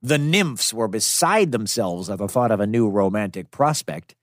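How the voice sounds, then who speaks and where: A man's voice: on the low side, animated, moderate pace, no room sound, studio quality. A man narrating a children's myth as storyteller, in a playful, conversational way. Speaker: storyteller host.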